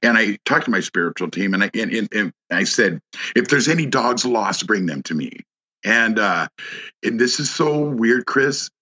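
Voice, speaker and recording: A man speaks in a fairly low yet bright, forceful voice, telling a personal story as a podcast guest. He stops and restarts often and fills gaps with "uh" and "you know." The audio sounds dull and lo-fi, like a remote connection. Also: expressive. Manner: halting, many restarts and fillers. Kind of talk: casual conversation, personal story.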